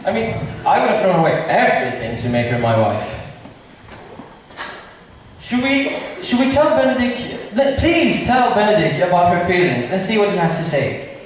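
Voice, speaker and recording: A man's voice: high-pitched, very animated, normal speed. A man in an amateur school play, playing a nobleman slyly plotting a matchmaking trick. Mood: scheming. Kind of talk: amateur school stage acting. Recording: distant mic in echoey hall, noisy.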